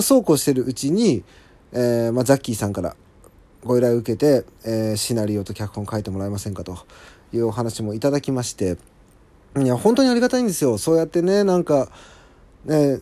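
A man talks alone as the host of a casual show, in a soft-edged, mellow timbre. His intonation rises and falls in a very lively way. He is polite and conversational, often pausing to agree with himself as he updates his listeners. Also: medium pitch, no echo. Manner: polite, apologetic, conversational with frequent agreeing asides. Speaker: solo audio show host.

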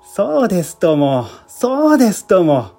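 A man's voice: sits high, huge pitch swings, measured pace, gravelly, warm tone, pitched above the performer's own voice, full character voice. A man voicing a proud, devoted middle-aged wife who speaks respectfully and earnestly in a literary story reading. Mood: proud, admiring. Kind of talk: literary reading with acted dialogue. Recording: music bed behind the voice.

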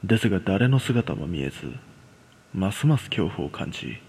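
Male narrator, scripted horror storytelling, lively pitch swings, husky creaky voice, dramatic, long reverb. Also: normal speed.

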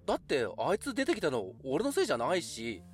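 Young man, acted by a man, irritable, defensive, wildly varying pitch, audio drama, music behind. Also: huge pitch swings, brisk. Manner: grumbling, argumentative.

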